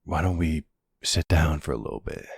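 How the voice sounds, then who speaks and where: A man playing an older mafia boss, a protective and tender lover, speaking soothingly in an intimate ASMR boyfriend roleplay with a gravelly, breathy voice. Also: a bass voice, expressive, no room sound, studio quality, exaggerated voice. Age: middle-aged. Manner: soothing, unhurried, full of pet names.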